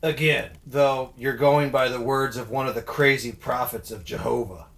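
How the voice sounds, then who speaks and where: Man acting a gravelly, skeptical soldier. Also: medium pitch, fairly even intonation, moderate pace, a bit bright. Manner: questioning, dismissive. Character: skeptical, blunt junior army officer. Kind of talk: audio Bible drama acting.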